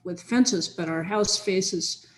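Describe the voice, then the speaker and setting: A woman's voice: mid-range voice, slow, a bit bright, low-quality recording. Rambling woman on a video call. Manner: rambling, many fillers and restarts. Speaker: software team researcher in a chain conversation. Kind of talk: casual video call chat. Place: home office with big sunny windows. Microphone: computer on a video call.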